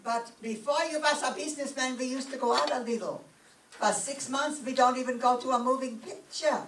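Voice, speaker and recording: Woman acting nagging immigrant mama, scolding manner, 1930s radio comedy, slightly high pitch, distant echoey recording. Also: brisk, fairly bright tone. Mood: nostalgic, nagging. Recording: echoey distant stage recording, faint noise.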